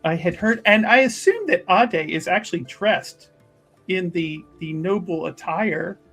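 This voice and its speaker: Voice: medium pitch, expressive, measured pace, fairly bright tone, slightly rough, soft background music. A tabletop game player casually narrating a character's actions in an emphatic way, repeating words of agreement.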